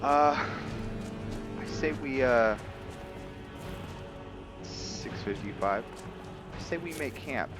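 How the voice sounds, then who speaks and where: A man giving casual commentary as he plays a roleplaying game, thinking aloud in a halting way. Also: medium pitch, animated, music in the background. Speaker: gameplay host.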